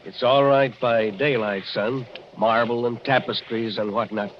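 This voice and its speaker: A man's voice: mid-range voice, steady tone, unhurried, natural voice. An actor playing a plain-spoken, cautionary old local man in a folksy 1940s radio drama style. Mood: grave warning. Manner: folksy, emphatic storyteller. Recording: muffled, hissy old radio recording.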